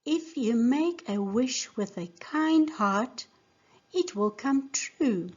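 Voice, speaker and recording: A woman reading the part of a friendly male rabbit in a children's storybook, gentle and welcoming. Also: fairly high pitch, animated, slow, slightly rough, muffled recording, exaggerated voice. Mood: gentle, earnest.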